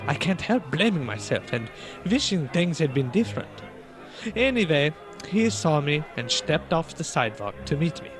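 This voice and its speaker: A man's voice: fairly high pitch, big pitch swings, moderate pace, music in the background. An actor playing an evasive friend of a dead man in a radio drama, speaking dramatically and emphatically. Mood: distressed, defensive. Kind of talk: old-time radio drama acting.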